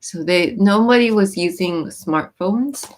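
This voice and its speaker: A woman's voice: medium pitch, slow. A woman hosting a casual livestream, raised in the US with Japanese as her mother tongue, reading viewer comments and explaining lyrics with frequent ums and restarts. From born in Japan, raised in the US. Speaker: livestream host.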